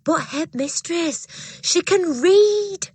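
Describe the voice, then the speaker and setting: A woman voicing a gentle young teacher who pleads softly and sometimes whispers. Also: very high-pitched, animated, slow pace, no echo, pitched above the performer's own voice, full character voice. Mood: soft pleading.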